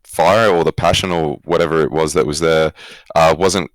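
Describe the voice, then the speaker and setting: A man's voice: rumbling low, fairly even intonation, normal speed, dry recording. A young man with an Australian accent, answering interview questions casually and reflectively, rephrasing as he goes. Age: young adult. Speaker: esports coach, former pro player, guest.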